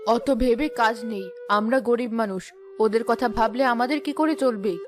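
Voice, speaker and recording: A woman's voice: fairly high pitch, moderate pace, lightly acted. A woman voicing a practical, consoling village wife and mother in a cartoon, reassuring but firm. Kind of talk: Bangla cartoon voice acting. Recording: music behind the voice.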